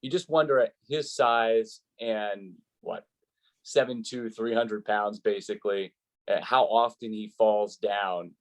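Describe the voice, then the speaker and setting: A man's voice: on the low side, fairly even intonation, slow pace. A man who works as a sports announcer, speaking as an interview guest in an articulate, explanatory, conversational way.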